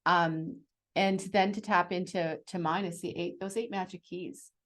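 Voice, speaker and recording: A middle-aged woman with a lowish voice explains things in a measured, conversational manner. She sounds like a co-host and parent laying out practical strategies. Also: fairly even intonation, a bit bright.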